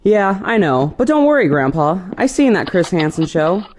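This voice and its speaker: Man playing a higher-voiced, casual teenage grandson. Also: sing-song, normal speed, a little hiss or hum. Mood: breezy, chatty. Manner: casual, flippant. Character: cocky, easygoing teenage grandson, about fourteen. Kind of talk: audio play acting.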